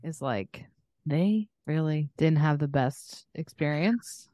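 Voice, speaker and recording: A woman's voice: sits low, expressive, takes it slowly, warm tone, no room sound. A woman co-hosting a podcast in casual conversation, reacting with dry understatement. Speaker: podcast co-host.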